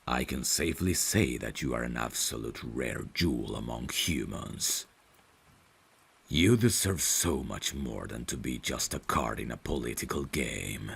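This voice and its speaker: A man playing a devoted, courteous vampire butler in an intimate ASMR audio roleplay, speaking formally and deliberately in a gravelly, breathy voice. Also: very deep voice, heavily acted. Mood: tender, admiring. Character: devoted, courteous male vampire butler, tender yet menacing.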